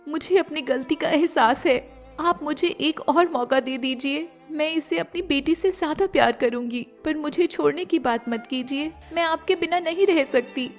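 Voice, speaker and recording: A woman voicing an emotional young stepmother and wife in a melodramatic animated moral story, speaking earnestly. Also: sits high, moderate pace, faint music behind, pitched above the performer's own voice, close to an everyday voice. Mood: pleading.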